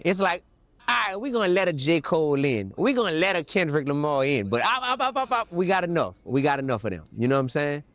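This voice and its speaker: American guy in an interview, kinda high voice, repeats words to hammer points, no room echo. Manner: emphatic, repeats words for stress. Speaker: rapper being interviewed. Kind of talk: interview, opinionated conversational talk.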